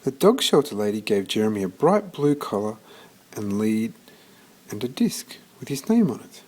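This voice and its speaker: A man reading a children's story aloud in an expressive storytelling manner, occasionally stumbling and restarting. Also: fairly low pitch, moderate pace. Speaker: storybook reader.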